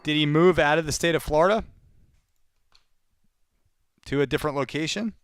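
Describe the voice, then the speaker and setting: A man's voice: a little high, moderate pace, clean tone, no echo, studio quality. A man hosting a live calling session, talking informally and in a rambling way to his viewers between sales calls. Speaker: live sales-call host, real estate cold caller. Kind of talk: casual live-stream chat between phone calls.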